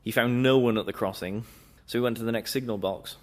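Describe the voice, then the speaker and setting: A man narrating a children's railway story in a steady, expressive read-aloud style. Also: medium pitch, a little fast.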